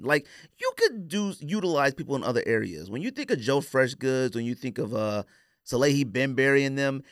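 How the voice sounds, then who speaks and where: A man's voice: mid-range voice, fairly bright tone, dry recording, studio quality. A man chatting casually as a podcast co-host, rambling candidly and using plenty of slang.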